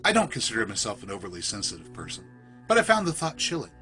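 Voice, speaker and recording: A man reading a scripted first-person horror story in a literary, measured, suspenseful storytelling manner. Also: on the low side, wildly swooping pitch, bright, forceful tone, soft background music. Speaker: storyteller, podcast narrator.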